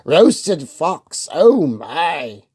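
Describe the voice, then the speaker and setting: A sly, hungry fox from a children's storybook, speaking slowly and loudly in a low, mellow voice. A man reading aloud gives him a drawn-out, coaxing delivery. His pitch swings widely between words. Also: big pitch swings, slightly rough, exaggerated voice. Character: sly hungry fox, smooth-talking male.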